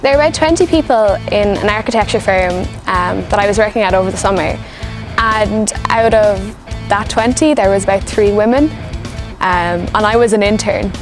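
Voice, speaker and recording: A twenty-year-old woman, talking as a student being interviewed, in a measured conversational way. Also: medium pitch, over music.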